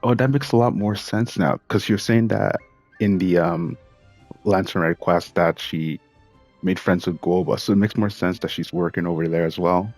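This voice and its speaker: Female streamer, very deep voice, offhand chatty playthrough reactions, soft game music underneath. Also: normal speed. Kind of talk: casual playthrough commentary. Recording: faint game music behind.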